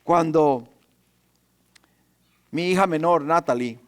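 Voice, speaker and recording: A man's voice: a little high, unhurried. A middle-aged man preaching a sermon as a pastor, emphatically repeating phrases and posing rhetorical questions. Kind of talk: sermon in Spanish to a congregation.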